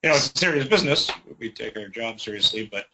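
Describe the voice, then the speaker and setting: A man's voice: mid-range voice, animated, fast talker, background noise. A man from a brewery, chatting easygoing and rambling as a guest, recorded in an office. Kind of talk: casual interview chat.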